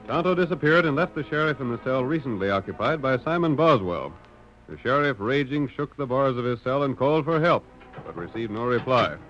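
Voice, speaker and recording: A man's voice: medium pitch, sing-song, brisk, fairly bright tone. A man narrating a western in dramatic 1940s radio style. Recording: muffled old radio recording, music behind.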